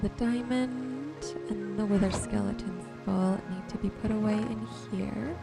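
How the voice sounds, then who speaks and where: A woman narrates her gameplay in a soft-spoken ASMR style at a very slow pace. Her pitch sits in the middle range for a woman, and she muses aloud to herself and her viewers. Music and rain sounds play clearly behind her voice. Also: steady tone. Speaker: gaming streamer and host.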